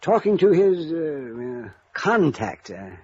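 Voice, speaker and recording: A man acting in vintage radio drama as a resigned, genteel elderly uncle, with a halting, mournful, courtly manner. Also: on the high side, very animated, darkish tone, slightly rough, dry recording, heavily acted. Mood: hesitant. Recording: muffled vintage radio recording.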